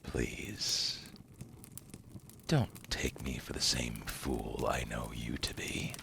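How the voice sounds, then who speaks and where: Seductive demon banker for ASMR roleplay male performer: rock-bottom pitch, half-whispered, slow and silky, smug, faint noise behind. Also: expressive, pushed and bright, full character voice. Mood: condescending. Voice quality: gravelly, breathy half-whisper. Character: seductive, condescending male demon dealmaker, suave otherworldly banker.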